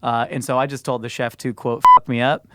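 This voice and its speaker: Animated man hosting a podcast, dry close sound. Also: mid-range voice, clean tone, dry recording. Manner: animated storyteller, laughs often, drawn-out words. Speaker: podcast host. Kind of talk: casual chat.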